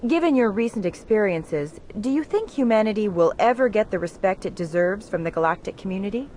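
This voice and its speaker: A woman's voice: mid-range voice, animated, a little fast, a little mellow, a little hiss or hum, natural voice. A woman playing a polished, needling TV news reporter in a video game. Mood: probing.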